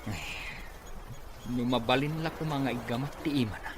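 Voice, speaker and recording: A man's voice: medium pitch, expressive, normal speed, background noise, natural voice. An actor with an Ilocano accent playing a scheming, greedy man in a Filipino radio drama.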